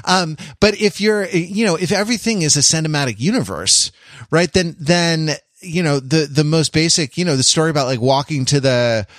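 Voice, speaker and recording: A man co-hosting a podcast in casual conversation, halting and restarting as he works through his thoughts. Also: on the high side, no echo, studio quality. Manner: halting, hedging, frequently restarting mid-thought. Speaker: podcast co-host. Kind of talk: casual discussion of stories and genre.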